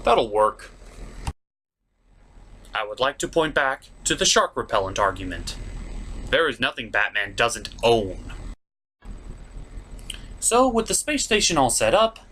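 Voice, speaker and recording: A man's voice: mid-range voice, very animated, unhurried, long pauses, sharp tone, slight background noise. A man hosting a scripted comic review show, speaking in a sarcastic, theatrical way. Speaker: host of a puppet cartoon review show.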